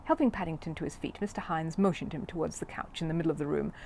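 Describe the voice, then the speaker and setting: A woman narrating a children's storybook in an expressive reading style. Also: sits low, fast, gravelly, a little hiss or hum.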